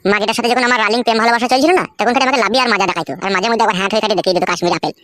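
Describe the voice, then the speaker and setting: A man's voice: high-pitched, rapid-fire, bright, forceful tone, no echo. A man voicing a harried, foul-mouthed husband of two wives in a village comedy cartoon, grumbling and cursing. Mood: rueful, grumbling. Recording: music behind the voice.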